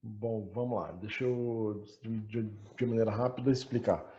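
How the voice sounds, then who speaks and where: A man's voice: fairly low pitch, fairly even intonation, moderate pace, dark tone. A man giving a casual personal account, hesitant, repeating words with a mild stutter. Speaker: coaching client. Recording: dull lo-fi online call audio.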